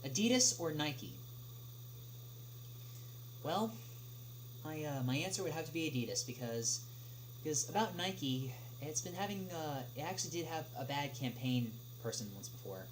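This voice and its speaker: A man chatting casually on a livestream as he answers viewer questions, speaking haltingly with long pauses. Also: on the high side, lively intonation. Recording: noisy livestream audio with clear hum.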